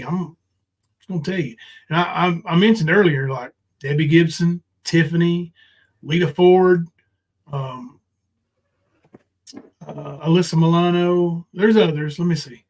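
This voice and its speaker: A man with a fairly high-pitched voice hosts a livestream panel. He talks casually, in a folksy, rambling manner. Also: normal speed. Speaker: live panel stream host.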